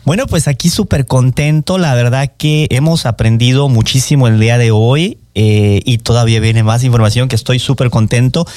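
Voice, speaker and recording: A man's voice: medium pitch, fairly even intonation, moderate pace, hardly any pauses, darkish tone, no echo, studio quality. A man hosting a radio talk show, chatty and upbeat, with lots of fillers. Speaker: radio host. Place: radio studio. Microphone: studio microphone.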